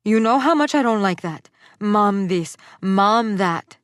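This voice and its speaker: A woman's voice: medium pitch, lively intonation, slow, clear, resonant tone, no echo, pitched above the performer's own voice. A woman voicing a dramatic, scolding middle-aged mother who speaks with a thick Spanish accent, in a dry close recording. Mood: outraged. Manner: loud, scolding, theatrical. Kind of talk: audiobook character voice.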